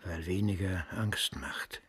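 An actor in a German radio drama playing a bitter, obsessive older husband, husky and volatile. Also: male voice, deep voice, slow pace, no echo, clean studio sound, exaggerated voice. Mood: hushed, dark. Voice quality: husky, raspy. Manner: brooding, volatile, from hushed to outburst. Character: bitter, tormented jealous husband, aging and obsessive.

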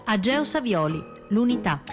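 A woman narrates a scripted radio theatre programme over soft music. Her voice sits at a middle pitch, and she phrases things in a measured, theatrical way. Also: very animated. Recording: music under the voice.

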